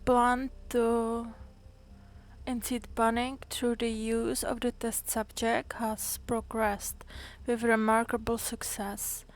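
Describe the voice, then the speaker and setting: A woman streamer reading in-game notes aloud slowly and carefully, sometimes going back over a word. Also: a little high, little pitch movement, low-quality recording. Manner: slow, careful reading with small restarts.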